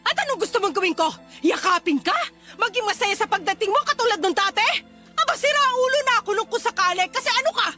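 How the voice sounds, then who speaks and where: An actress playing a furious betrayed wife and older sister in a Filipino radio drama, scolding shrilly and sarcastically. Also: female voice, very high-pitched, lively intonation, quick pace, sharp tone, heavily acted. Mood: sarcastic, enraged. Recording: music bed behind voice.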